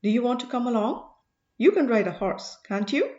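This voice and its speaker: A woman voicing an earnest, commanding male sage in an audiobook, speaking in a measured, emphatic way. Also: on the high side, lively intonation, darkish tone, roomy sound, muffled recording, natural voice. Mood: concerned, emphatic. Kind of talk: audiobook character dialogue.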